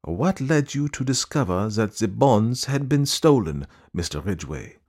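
A man voicing a fussy, precise male detective who asks polite, probing questions in a deliberate way. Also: fairly low pitch, big pitch swings, darkish tone, pitched above the performer's own voice, full character voice.

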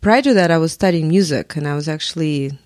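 A woman in her forties with a Russian accent, a guest on a podcast, speaking thoughtfully with frequent pauses and ums. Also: low voice, darkish tone, no room sound, studio quality. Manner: thoughtful, hesitant with many ums. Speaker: guest artist and professor. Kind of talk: podcast interview.

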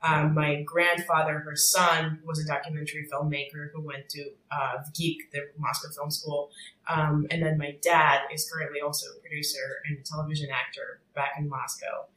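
A woman's voice: low voice, level tone, moderate pace, a bit bright. Woman in an echoey room. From Russia. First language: Russian. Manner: thoughtful, hesitant with many fillers. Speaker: podcast guest. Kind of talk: casual chat.

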